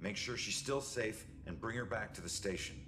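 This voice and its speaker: An actor playing a gruff, world-weary ghost detective in a video game, terse and muttering. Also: male voice, lowish voice, normal speed, lightly acted. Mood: wry. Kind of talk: dramatic video game acting.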